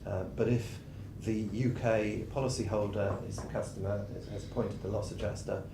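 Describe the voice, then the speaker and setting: A man with a fairly low voice, hoarse and losing it, presents in a measured explanatory way, stopping often to clear his throat. He was recorded in an echoey conference room with a steady low rumble behind him. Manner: measured, explanatory, with frequent ums. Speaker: tax adviser presenting a session.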